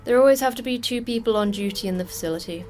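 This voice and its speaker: A woman's voice: fairly high pitch, quick pace, a little mellow, soft background music, natural voice. A woman playing a jaded, terse station manager in an audio drama, dry and matter-of-fact. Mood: wry briefing. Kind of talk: audio fiction podcast acting.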